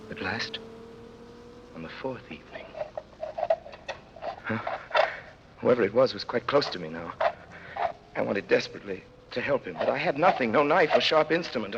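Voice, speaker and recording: A man's voice: mid-range voice, highs cut off, lightly acted. An actor playing an anguished prisoner who recounts his years in a dungeon, grave and intense, in classic radio drama style. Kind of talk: classic radio drama acting, dramatic first-person narration. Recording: noisy old radio recording.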